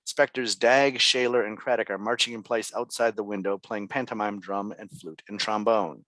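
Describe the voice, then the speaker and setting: A man's voice: lowish voice, fairly even intonation, normal speed, bright, forceful tone, no room sound. A man narrating stage directions from a script at a table read.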